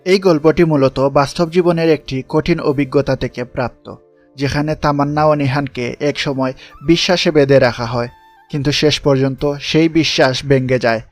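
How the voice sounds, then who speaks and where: Man, narrator, scripted delivery, raised pitch, faint musical backing. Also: steady tone, normal speed, soft background music. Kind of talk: scripted story summary narration.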